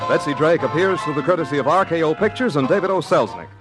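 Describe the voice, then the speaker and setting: A man announces closing credits in old-time radio style. His voice is fairly high and very lively, with music playing behind. It has the sound of a 1950s broadcast. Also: quick pace.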